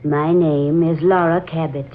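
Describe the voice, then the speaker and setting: A woman's voice: low voice, steady tone, measured pace. An actress playing an earnest young woman with a dramatic manner in a 1940s radio drama. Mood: solemn, haunted. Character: Laura Cabot, earnest young woman telling an uncanny tale. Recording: muffled, noisy 1940s radio recording.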